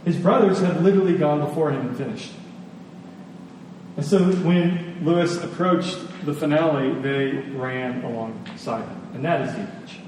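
Male preacher, slightly high voice, conversational yet emphatic, heard at a distance in a reverberant hall. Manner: conversational, with emphatic repetition and pauses. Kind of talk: sermon with personal stories. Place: large reverberant room with an audience. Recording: echoey hall, distant, faint noise.

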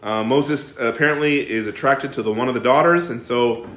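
A man teaches a Bible class in an echoey room, his voice sitting at an ordinary middle pitch. He talks animatedly, stops often to toss questions to the group, and stumbles through fillers and restarts. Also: normal speed, a bit bright. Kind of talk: interactive bible study teaching.

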